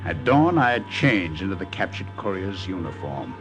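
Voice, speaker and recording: Radio drama wartime agent: resourceful American, lowish animated voice, bold storytelling delivery over music. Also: male voice, moderate pace. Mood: narrating. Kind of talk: 1950s radio drama narration and acting.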